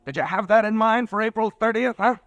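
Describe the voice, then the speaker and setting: A man's voice: sits high, fairly bright tone, dry recording, dull audio. An actor playing a cocky young male pharmacist turned killer in a 1940s radio drama, swaggering and taunting. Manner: swaggering, taunting, quick-shifting.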